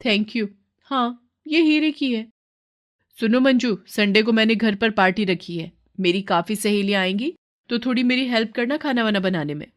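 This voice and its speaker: Woman playing a brisk, proud lady employer. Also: a little high, natural voice. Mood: brisk, casual.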